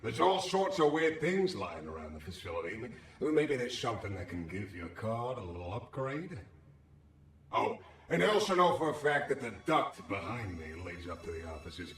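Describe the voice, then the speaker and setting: A scheming, wisecracking game anomaly, talks in lively swoops with a cocky, sardonic bite. The voice rings in a roomy space, a little away from the mic. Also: male voice, lowish voice, moderate pace. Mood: helpful, sly. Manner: cocky, sardonic, folksy slang. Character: wisecracking, scheming handless anomaly, sly streetwise troublemaker. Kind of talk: video game character acting. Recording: roomy echo, slightly distant.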